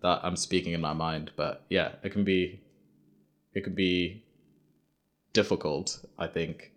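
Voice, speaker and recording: Easygoing host, a man from Zimbabwe, very deep voice, laughs often. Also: steady tone, unhurried. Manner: laid-back, laughs often, speaks in loose run-on phrases. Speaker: host and interviewer. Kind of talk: casual conversation.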